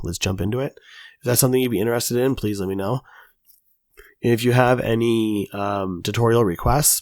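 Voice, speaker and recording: A man recovering from an illness, his voice raspy, talking casually through a coding tutorial with lots of filler words. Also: on the low side, no echo, studio quality. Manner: casual, chatty, many fillers. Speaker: tutorial host. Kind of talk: screen-recorded coding tutorial.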